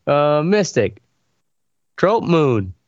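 Higher-voiced, lively man, slightly muffled. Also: drawn-out words, no room sound. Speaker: podcast co-host. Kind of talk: casual chat.

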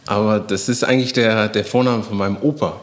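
A man in his forties, a former footballer from Guinea, telling his story calmly in an interview, with many hesitations and fillers. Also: lowish voice, brisk. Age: 40s. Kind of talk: casual interview conversation.

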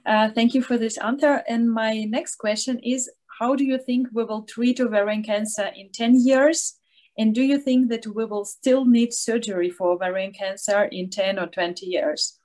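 A woman's voice: fairly high pitch, fairly even intonation, normal speed, darkish tone. A young woman from Ukraine, politely reading out her interview questions.

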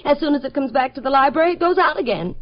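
An actress playing a naive, panicky young bookstore owner in 1950s radio drama, breathless and insistent. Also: female voice, high-pitched, lively intonation, fast. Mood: agitated. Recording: muffled old-time radio recording.

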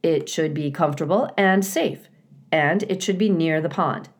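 A woman voicing a polite, earnest, literal-minded robot mother in a children's storybook, with measured phrasing. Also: sits low, lightly acted. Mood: matter-of-fact.

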